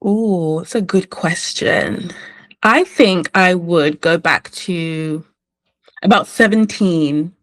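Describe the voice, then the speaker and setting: Thoughtful, unhurried woman guest. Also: fairly low pitch. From born in the UK, raised in Ireland and England. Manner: thoughtful, unhurried, with frequent restarts. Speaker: guest, cartoonist. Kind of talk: reflective conversational interview.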